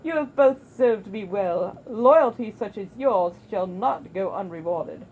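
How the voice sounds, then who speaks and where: A woman's voice: medium pitch, expressive, phone-quality sound, a little hiss or hum. A woman playing a vain castle master who talks grandly to his servants. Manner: grand, drawn-out, sinking to a hush.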